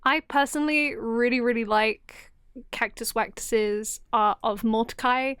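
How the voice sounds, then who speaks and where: A woman's voice: a little high, fairly even intonation, measured pace, fairly bright tone, clean tone, no room sound, clean studio sound. A woman speaking as a guest commentator, giving an informal appraisal in an effusive, emphatic way. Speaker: guest commentator, audio series creator.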